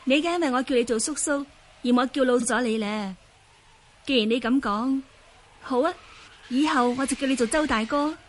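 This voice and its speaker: A woman's voice: high voice, normal speed, pauses often. A woman acting a polite, spirited young swordswoman in a Cantonese radio drama, with courteous theatrical delivery. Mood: polite farewell.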